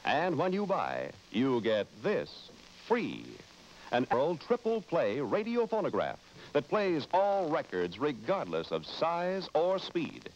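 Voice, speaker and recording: A man's voice: a little high, a little hiss or hum. An American man announcing in 1950s broadcast advertising style, with polished, emphatic salesmanship. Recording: dull vintage broadcast recording.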